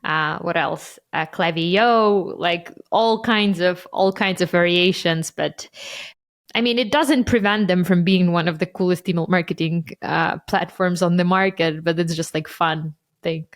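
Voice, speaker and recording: A woman whose first language is Ukrainian, co-hosting a casual business chat and telling stories in a chatty way. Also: lowish voice. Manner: chatty, quick storytelling with many "like" fillers. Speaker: podcast co-host.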